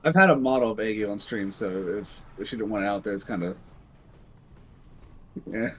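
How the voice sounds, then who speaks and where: A man's voice: lowish voice, normal speed, a bit bright, slight background noise, low-quality recording. A man joining a stream as a guest, chatting casually and hesitantly, often trailing off. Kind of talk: casual gaming chat.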